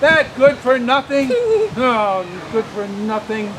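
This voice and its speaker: Woman acting slow, high-pitched, blustering rich puppet master. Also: very animated. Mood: indignant. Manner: theatrical, exclaiming, deliberate. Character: wealthy puppet master, blustering but soft-hearted. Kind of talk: children's puppet show acting. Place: outdoors at an open-air show. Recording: windy, noisy outdoor live recording.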